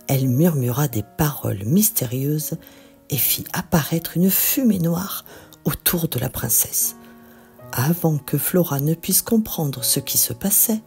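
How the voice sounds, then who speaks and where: Slow, animated woman storyteller. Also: deep voice. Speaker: children's storyteller. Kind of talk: scripted bedtime story narration.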